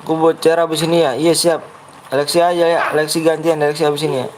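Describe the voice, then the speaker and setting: A young male game streamer with a Betawi accent and a somewhat raised pitch. He chats with viewers in a brash, blunt way that he says comes across as rough, and keeps pushing them to like and subscribe. A faint hum of noise runs under his voice. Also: level tone, fast, low-quality recording. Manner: blunt, brash delivery he himself calls harsh-sounding. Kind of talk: live gaming stream chat.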